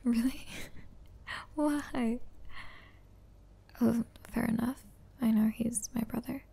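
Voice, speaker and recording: A woman playing a hesitant, apologetic young student and caring sister in a breathy, whispery ASMR roleplay. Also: on the high side, fairly even intonation, dark tone, exaggerated voice. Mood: gentle, nervous. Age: young adult. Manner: halting, hesitant. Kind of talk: soft-spoken ASMR roleplay.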